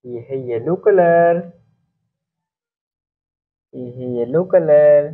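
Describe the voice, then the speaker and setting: A man's voice: a little high, extremely slow. A man presenting a simple lesson to young children, repeating names in an instructional way. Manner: repetitive, instructional, addressing children. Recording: muffled, narrow phone-like sound.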